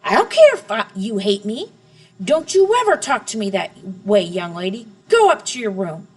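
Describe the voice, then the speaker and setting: A woman reading aloud, voicing a short-tempered father who yells and scolds. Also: fairly high pitch, expressive, unhurried. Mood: furious. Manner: yelling, scolding. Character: angry, short-tempered father, grown man.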